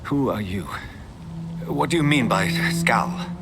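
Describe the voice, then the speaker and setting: An actor voicing a brooding, determined doctor turned vampire in a video game, with a terse, grave delivery. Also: male voice, medium pitch, sing-song, slow pace, creaky, full character voice. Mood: urgent. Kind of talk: scripted game dialogue and monologue. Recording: music under the voice.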